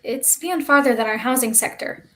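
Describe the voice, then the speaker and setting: A woman's voice: high voice, moderate pace, pushed and bright. A woman acting a gloomy, fearful child in a staged play reading, grave and hesitant. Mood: reluctant. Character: stunned, gloomy child named Finn, fearful and reluctant.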